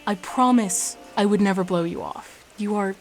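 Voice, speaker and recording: An earnest teenage boy, voiced by a woman in a radio drama. He pleads softly and sincerely at a medium pitch for a female voice, with music beneath. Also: normal speed, lightly acted. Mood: pleading. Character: earnest, apologetic teenage boy at prom night.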